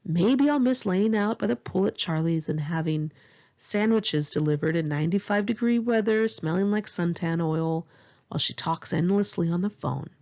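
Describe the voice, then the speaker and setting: Low-pitched woman, Californian accent, husky, creaky, expressive memoir narration, slightly dull recording. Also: moderate pace. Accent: Californian American. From Los Angeles area, California, United States. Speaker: author reading own memoir. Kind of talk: narrated memoir audiobook, one-take home reading.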